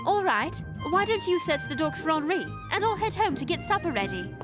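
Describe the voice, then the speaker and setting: A woman playing an earnest, prim young English girl reporter in an animated series, speaking formally with an English accent. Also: very high-pitched, brisk, over music. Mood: worried. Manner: proper, formal, indignant.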